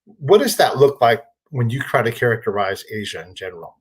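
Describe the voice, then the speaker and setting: A man hosts a relaxed podcast conversation. He talks in a loose, conversational way, piling up examples before he gets to his question. His voice sits at an ordinary middle pitch. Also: a little fast, breathy edge. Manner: conversational, lists examples, asks long rambling questions. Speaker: podcast host. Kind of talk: casual interview chat.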